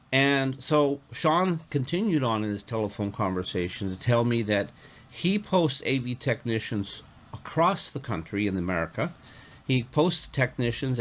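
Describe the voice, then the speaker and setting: Man in his sixties telling a story. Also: medium pitch. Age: 60s. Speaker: YouTube host, AV technician. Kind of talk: conversational storytelling to camera.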